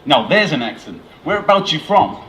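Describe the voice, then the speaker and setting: A man voicing a large, friendly, excitable American man in a live story reading, with an American accent and a gushing manner. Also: a little high, moderate pace, a little hiss or hum, pitched above the performer's own voice. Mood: amazed. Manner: gushing, loud, easily awed.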